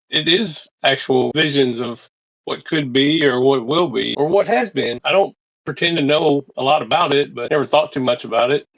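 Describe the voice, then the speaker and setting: A man playing an easygoing, matter-of-fact fellow in a tabletop game, talking in a measured way. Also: medium pitch, gravelly, no echo, lo-fi sound.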